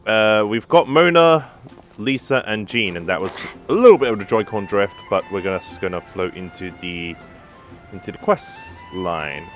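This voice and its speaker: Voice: low voice, expressive, moderate pace, faint music behind. A gamer giving casual commentary on a playthrough in muttered asides.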